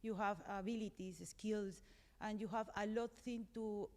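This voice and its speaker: A Colombian woman speaks English on a conference panel, her Spanish accent clear as she pauses for words. Her voice sits at an ordinary pitch. It carries the long ring of a large hall. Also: steady tone, darkish tone. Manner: earnest, searching for words, frequent hesitations. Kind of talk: panel discussion.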